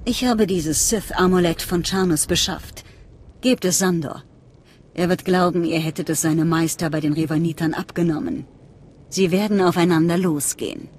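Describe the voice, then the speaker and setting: An actress playing a secretive, fanatical cult leader in a game, speaking in a measured, earnest, conspiratorial way. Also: female voice, on the low side, a little mellow, lightly acted. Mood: urging, conspiratorial. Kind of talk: dramatic game voice acting.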